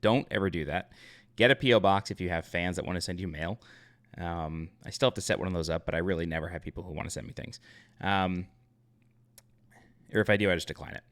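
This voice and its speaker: Deep-voiced man rambling through a story. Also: fast, pauses often, a bit bright. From Long Island, New York. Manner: rambling, self-correcting, many fillers. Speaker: YouTube channel host. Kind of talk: casual storytime.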